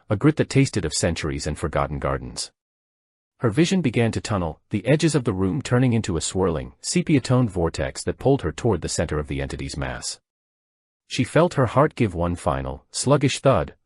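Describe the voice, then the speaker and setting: A man narrates a horror tale in a very low, husky voice. His delivery is dramatic and deliberate, with pitch that swings widely from phrase to phrase. The sound is dry and close, with no room around it. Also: no room sound.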